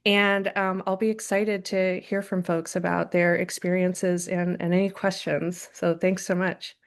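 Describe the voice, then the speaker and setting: A woman's voice: fairly low pitch, little pitch movement, unhurried. A woman presenting her work in a casual, filler-heavy way over a video call. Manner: casual, filler-heavy with many likes and ums. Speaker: learning lab staff presenting. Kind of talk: webinar presentation.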